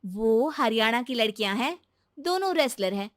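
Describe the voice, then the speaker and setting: A woman voicing a gentle but determined young daughter-in-law in a moral story, earnest and coaxing. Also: on the high side, animated, moderate pace, no room sound, studio quality, close to an everyday voice. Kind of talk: animated Hindi moral-story voice acting.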